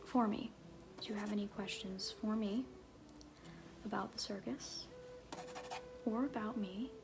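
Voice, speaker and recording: Woman playing a half-whispering, slow-talking flirty circus fool. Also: mid-range voice, steady tone, gravelly, noisy background. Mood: glib, reassuring. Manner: halting, offhand, teasing asides. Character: flirty, glib circus fool and sham fortune-teller, playfully evasive. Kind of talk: ASMR roleplay, half-whispered soft speech.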